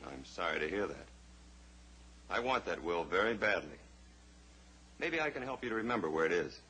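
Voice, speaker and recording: Low, menacing radio villain. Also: male voice, lively intonation, sharp tone, rough recording. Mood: mock-polite menace. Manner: mock-polite, deliberate, menacing. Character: suave, menacing male villain, a scheming blackmailer. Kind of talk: old-time radio drama acting. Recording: old radio recording with faint hiss.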